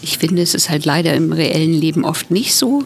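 A woman author from Thuringia, a guest on a podcast, talking frankly and lively in casual chat and agreeing often. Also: low-pitched, a little fast, vocal fry, slight background noise. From Thuringia, Germany.

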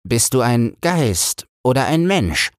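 A man's voice: medium pitch, sing-song, takes it slowly, no echo, studio quality, voice pushed up. A man voicing a solemn, questioning priest in a fairy tale.

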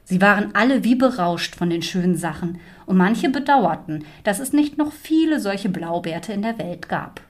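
A woman reading a fairy tale as narrator, with expressive storyteller phrasing. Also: mid-range voice, normal speed, hardly any pauses. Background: music.

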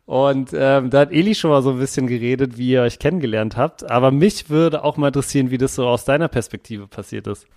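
A man's voice: mid-range voice, fast talker, few pauses, darkish tone. A young man co-hosting a podcast, upbeat and asking the guest questions. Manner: upbeat, asks questions, moderates. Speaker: podcast co-host. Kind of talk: casual podcast chat.

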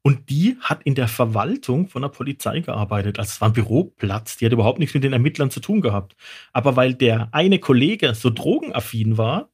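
A man's voice: mid-range voice, animated, brisk, slightly rough. A man, an expert guest, explaining and telling anecdotes in a casual, colloquial storytelling way. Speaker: toxicologist guest.

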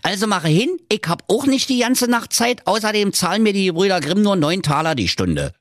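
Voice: medium pitch, sing-song, fairly quick, no echo, clean studio sound, pitched above the performer's own voice, lightly acted. A performer voicing a cheeky, bossy little male dwarf ghost, brash and impatient, in a comic storybook tale.

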